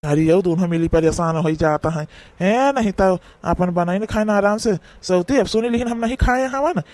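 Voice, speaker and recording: A man plays a cranky old village father-in-law from a comedy cartoon. His voice is high for a man and closely recorded without echo. He has an Awadhi accent and scolds in an emphatic, rambling way. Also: normal speed, a little mellow, natural voice. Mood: grumbling.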